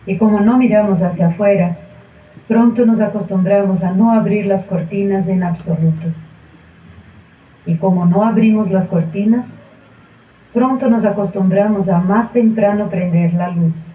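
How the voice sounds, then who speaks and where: A woman's voice: mid-range voice, normal speed, mellow, close to an everyday voice. A woman playing a weary, reflective character in a theatrical monologue on stage. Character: weary, reflective person lamenting numb routine. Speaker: stage actor. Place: small indoor theatre, filmed in wide shot. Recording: echoey room, distant mic.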